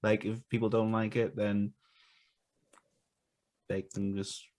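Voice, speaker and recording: Man panel guest, slow-spoken, hesitant, stumbles over starts. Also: on the low side, little pitch movement, a little mellow. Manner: halting, hesitant, many restarts. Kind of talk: casual panel talk.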